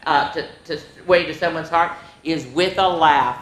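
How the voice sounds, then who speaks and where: A woman's voice: low-pitched, normal speed, lightly acted. Echoey storybook hyena jokester read by a woman. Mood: playful. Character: joking male hyena, town clown of a storybook. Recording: echoey room with faint background noise.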